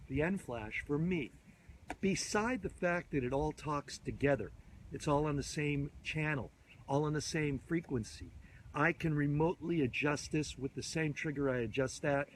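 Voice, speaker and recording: A man in his fifties, a bit hoarse from a cold, giving an enthusiastic, rambling gear tutorial outdoors on a field, filmed on a phone at arm's length. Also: fairly high pitch, a little hiss or hum, low-quality recording. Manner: chatty, enthusiastic, rambling with restarts. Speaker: photography instructor. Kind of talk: casual gear tutorial to camera. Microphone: phone or camera at arm's length.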